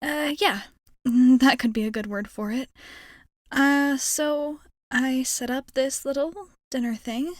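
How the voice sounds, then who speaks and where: High-voiced, hesitant alien girl played by a woman. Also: fairly even intonation, takes it slowly, dry recording, studio quality. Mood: flustered, welcoming. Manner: hesitant, rambling, self-effacing. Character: shy, nervously hopeful young female alien space traveler. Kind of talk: ASMR roleplay, intimate character monologue.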